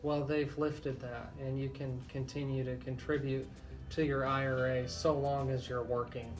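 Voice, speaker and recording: A man speaking as a legal expert on a radio talk show, explaining things deliberately with frequent pauses. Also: mid-range voice, little pitch movement, soft background music.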